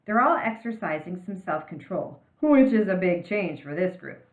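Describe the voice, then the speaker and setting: A woman's voice: lowish voice, animated, normal speed, roomy sound, phone-quality sound, close to an everyday voice. Woman acting an upbeat, pleading male teacher. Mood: earnest, pleading. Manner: persuasive, questioning, emphatic.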